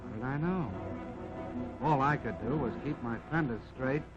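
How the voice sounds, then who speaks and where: A man's voice: mid-range voice, moderate pace, close to an everyday voice. A man voicing a modest, plucky army jeep that tells its own life story in a folksy, wry 1940s film narration. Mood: earnest, wondering. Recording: dull vintage 1940s film soundtrack, music behind.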